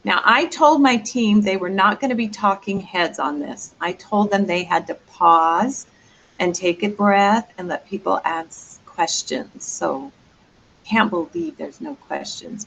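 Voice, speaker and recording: School lady running the meeting, jokey and chatty, kinda gravelly, a bit of background noise. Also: mid-range voice, expressive, unhurried, a bit bright, rough recording. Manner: joking, chatty, leads the meeting. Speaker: school administrator leading the presentation. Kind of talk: presentation to a school board.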